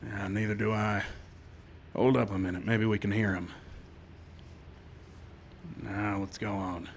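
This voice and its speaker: An actor playing a steady, firm frontier marshal who also narrates, in old-time radio western style. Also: male voice, on the low side, unhurried, slight background noise. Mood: hushed, alert. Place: live stage show in a park.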